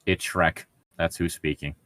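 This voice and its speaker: A man's voice: low voice, steady tone, unhurried, pushed and bright, no echo, studio quality. A man hosting casually, bantering and reading aloud in an exasperated, sweary way. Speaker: podcast host reading fan fiction aloud. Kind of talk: casual banter and mock-dramatic read-aloud.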